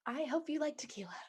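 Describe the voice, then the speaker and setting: A woman playing a sardonic, polished executive assistant with a cutting, teasing manner. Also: high voice. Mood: wry.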